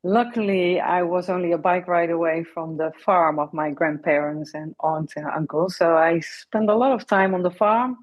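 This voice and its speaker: A woman's voice: on the low side, normal speed, hardly any pauses. A woman from the Netherlands with a Dutch accent, answering casually as an interview guest, on a dull lo-fi recording.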